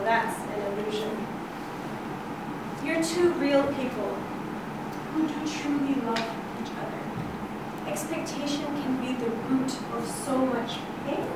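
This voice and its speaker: Young woman, unhurried pace, expressive speech-giving, dramatic pauses, distant camera mic, loud background noise. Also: high-pitched, heavy reverb. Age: young adult. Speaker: public speaker at a speaking club. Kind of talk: rehearsed humorous storytelling speech. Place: indoor meeting room. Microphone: far camera.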